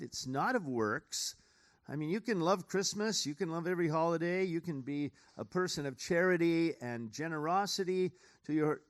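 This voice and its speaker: A man, a pastor speaking earnestly and informally to a theater audience in a preaching manner. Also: a little high.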